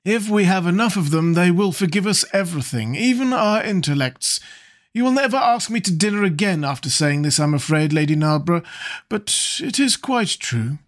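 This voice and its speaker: A man reading aloud and voicing a witty, cynical aristocratic gentleman with a languid manner. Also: high-pitched, animated, moderate pace, pitched above the performer's own voice. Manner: languid, epigrammatic.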